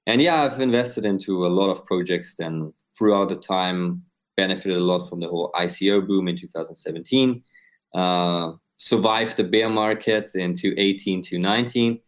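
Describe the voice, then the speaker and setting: Deep-voiced Austrian man in his twenties. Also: moderate pace. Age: 20s. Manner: explains step by step, often adds "right?". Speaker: guest, crypto company co-founder. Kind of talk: explanatory interview answers.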